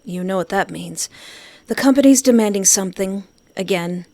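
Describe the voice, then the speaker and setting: A woman's voice: mid-range voice, moderate pace, dark tone, no echo. A woman reading a visual novel aloud expressively, with casual reactions of her own. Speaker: game player reading and reacting. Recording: music behind the voice.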